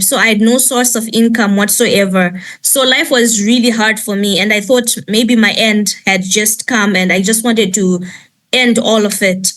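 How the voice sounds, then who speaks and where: A young woman, speaking as a nonprofit founder on a video call and giving a halting personal testimony full of ums and restarts. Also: mid-range voice, fairly bright tone. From Malawi. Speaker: panel guest, nonprofit founder. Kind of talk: personal testimony in an online chat.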